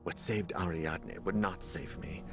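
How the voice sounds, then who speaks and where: Horror game lead: low, brooding male survivor, determined and dramatic, over a heavy music bed. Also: steady tone, measured pace, vocal fry. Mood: grave, determined. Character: haunted, desperate but determined male survivor narrating horror. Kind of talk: brooding scripted first-person game narration and dialogue.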